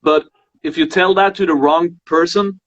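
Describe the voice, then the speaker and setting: Guy hosting a chat, voice teacher, bit high voice, halting with lots of ums, muffled audio. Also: steady tone. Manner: halting, full of fillers and restarts. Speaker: host and voice teacher. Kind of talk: casual conversation. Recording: muffled lo-fi sound.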